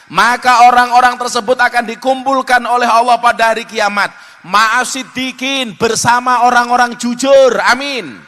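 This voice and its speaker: A man's voice: very high-pitched, fairly even intonation, normal speed, sharp tone. A man with a Javanese accent preaching in a joking, teasing way at a live religious gathering. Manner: joking, teasing, code-switching between Indonesian and Javanese. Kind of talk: humorous sermon with banter.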